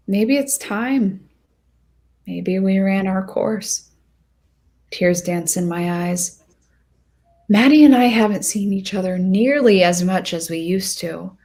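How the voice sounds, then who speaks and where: A woman narrating a short story in the first person as a lovesick, insecure young woman, earnest and expressive. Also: medium pitch, slow, pauses often. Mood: sad, insecure.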